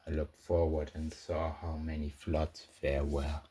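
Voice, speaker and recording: A man playing a battle-shaken soldier who recounts a war in a halting, husky voice for an amateur sci-fi audio log. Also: a bass voice, level tone, dark tone, slight background noise. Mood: grim, tense. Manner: halting, broken into short phrases with heavy pauses. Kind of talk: scripted first-person audio log narration, amateur sci-fi roleplay.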